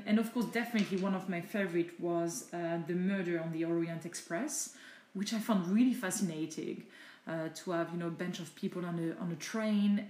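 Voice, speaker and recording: French-accented woman with a mellow voice. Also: lowish voice, reverberant. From France. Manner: thoughtful, chatty answers with searching pauses. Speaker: interviewee, mother of the host. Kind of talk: casual home interview.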